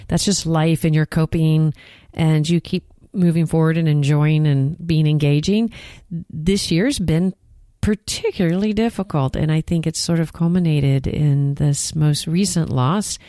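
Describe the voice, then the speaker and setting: Low-voiced Texan woman, podcast co-host. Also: mellow, dry recording, studio quality. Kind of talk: casual conversational podcast chat.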